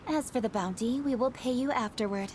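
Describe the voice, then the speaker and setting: A woman's voice: on the high side, a little fast, darkish tone, a little hiss or hum, close to an everyday voice. A woman voicing a poised, dutiful young woman official in a video game, speaking formally and with self-assurance. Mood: brisk, commanding. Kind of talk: English video game voice acting. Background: background music.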